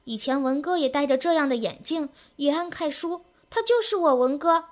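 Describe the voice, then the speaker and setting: A woman acts out a disturbed young village girl for an audio story. Her voice is very high and swings widely as she pleads and insists with childlike, clingy longing. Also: animated, normal speed. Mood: insistent, pleading.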